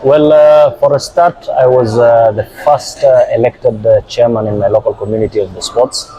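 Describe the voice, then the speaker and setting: A middle-aged man from Uganda, a football club director, answering interview questions with many hesitant filler pauses. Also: medium pitch, fairly even intonation, warm tone, low-quality recording.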